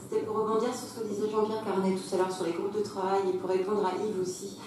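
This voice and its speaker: A woman's voice: medium pitch, flat delivery, quick pace. Distant woman speaking in an echoey meeting. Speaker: council member. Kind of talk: spontaneous speech in a formal meeting. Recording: very echoey hall, distant mic, faint noise.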